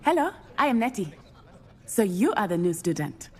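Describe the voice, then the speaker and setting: A woman's voice: mid-range voice, sing-song, moderate pace, big-room sound, natural voice. A woman voicing a friendly, welcoming young wizard student. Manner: welcoming, chatty.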